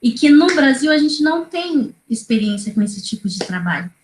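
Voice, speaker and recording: A woman's voice: high voice, big pitch swings, normal speed, darkish tone, a little hiss or hum. A woman in her thirties from Brazil, a native Kaingang speaker, talking as a guest educator on a video call, hesitant with many fillers and tag questions. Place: small indoor room. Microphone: laptop video call. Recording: roomy video call audio.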